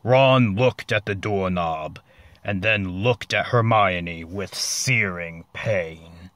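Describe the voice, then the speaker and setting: A man narrating a comic story as a dramatic reading, deliberate and mock-serious, with dramatic pauses. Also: lowish voice, sharp tone, no echo.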